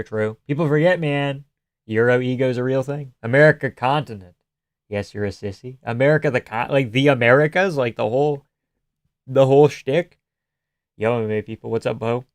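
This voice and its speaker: A young man from Canada streams live, riffing on viewer comments in a loose, sarcastic way with plenty of swearing. His voice comes through smooth and clear on a close mic in a dry, dead room. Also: mid-range voice, moderate pace, no room sound. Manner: casual, sarcastic, swears freely.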